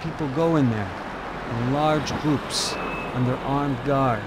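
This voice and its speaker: An actor playing a wily, persuasive police officer in a video game, speaking in a coaxing, insinuating way. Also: male voice, mid-range voice, slow pace, heavy background noise, lightly acted. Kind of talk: dramatic video game acting.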